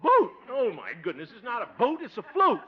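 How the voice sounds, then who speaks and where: A flustered small-town mayoral hopeful. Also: male voice, very high voice, wildly swooping pitch, brisk, husky, heavily acted. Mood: exasperated. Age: 30s. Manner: exasperated, flustered. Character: fussy small-town man running for mayor. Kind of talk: 1950 radio comedy acting. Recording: dull old radio recording with hiss.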